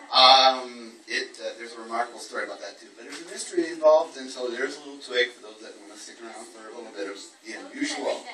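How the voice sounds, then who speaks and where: Male poet for a live book-launch reading, wry and wordy, mid-range voice, heard far from the mic in an echoey room. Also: medium pitch. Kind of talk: live poetry reading with informal introductions. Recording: roomy, distant event microphone.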